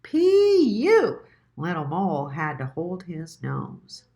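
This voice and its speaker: A woman reads a children's story aloud. Her voice sits a little low and moves around expressively, at an unhurried, deliberate pace. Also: animated, creaky, darkish tone. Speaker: children's book reader.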